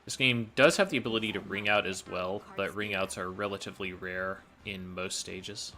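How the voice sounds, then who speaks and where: A man's voice: low voice, fairly even intonation, moderate pace, pushed and bright. A man giving explanatory gameplay commentary as a speedrunner, analytical and matter-of-fact.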